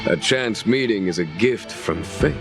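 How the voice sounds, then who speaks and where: An actor playing a calm, genial general in a video game, speaking in measured, eloquent and formal words. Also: male voice, on the low side. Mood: measured, shrewd. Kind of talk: video game cutscene acting. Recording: music behind the voice.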